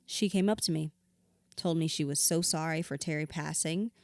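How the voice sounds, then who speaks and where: A woman's voice: sits low, moderate pace, a little mellow, no echo. An actress playing a hurt, bitter widow confiding in her therapist in an audio drama, raw, blunt and sweary. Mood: guarded, bitter. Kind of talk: audio drama acting, confessional dialogue.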